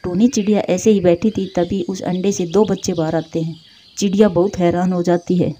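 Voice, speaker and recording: A woman's voice: lowish voice, fairly even intonation, a little fast, dark tone, a little hiss or hum. A woman reading scripted narration for a Hindi cartoon story.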